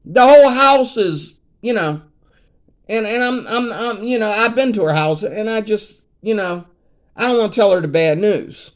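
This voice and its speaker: Man in his 50s, high-pitched voice, rambling vlog rant that turns emphatic, keeps asking "you know what I mean". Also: expressive, moderate pace. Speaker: vlogger.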